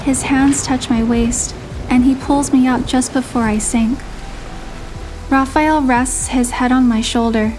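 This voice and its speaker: Female narrator, higher register, scripted romantic drama storytelling, music underneath. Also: steady tone, a little slow, rough recording. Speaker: narrator and voiced player character of a game story. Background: music behind the voice.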